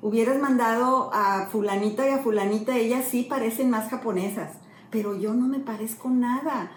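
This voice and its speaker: Woman, pastor, middle-aged, fairly high voice, echoey room, faint ambience. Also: a little hiss or hum. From Mexico. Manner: earnest storytelling, slow and emotional. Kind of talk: sermon-style testimony.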